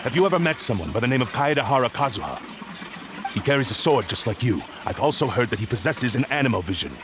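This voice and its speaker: A man acts out a hostile video game swordsman at a middling pitch. The speech is curt and scornful with lively swings in tone, and clear background noise surrounds it. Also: fast talker, close to an everyday voice. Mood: probing, brusque. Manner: curt, dismissive. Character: brusque, arrogant hostile male swordsman, scornful and impatient. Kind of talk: English video game voice acting.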